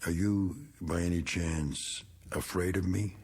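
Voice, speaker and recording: An actor playing a needling, bitter middle-aged American war veteran with folksy, slangy talk in a vintage TV drama. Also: male voice, deep voice, fairly even intonation, unhurried, darkish tone, heavily acted. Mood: menacing. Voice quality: gravelly. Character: needling, bitter male war veteran, rough working man. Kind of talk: 1960s television drama acting.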